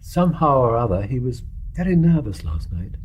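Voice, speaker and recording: Man played by an actor, mid-range voice, sober questioning gentleman, radio play, faint background noise. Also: mid-range voice, big pitch swings, fairly quick, lightly acted, low-quality recording. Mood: sober, probing. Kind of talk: radio drama acting.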